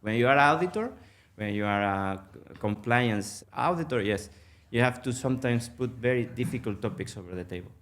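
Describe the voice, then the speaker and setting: A middle-aged man from Venezuela, Spanish native, speaking English with a Venezuelan accent, halting and full of fillers in a casual interview. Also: medium pitch, expressive, a bit bright. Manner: halting, many fillers and restarts. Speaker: guest, mergers and acquisitions manager.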